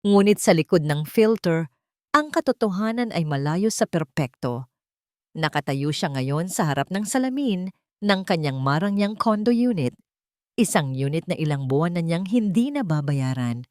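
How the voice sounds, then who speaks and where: A woman with a Filipino accent narrating a romance story in a measured, dramatic storytelling manner. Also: lowish voice, animated, a little mellow, dry recording, studio quality.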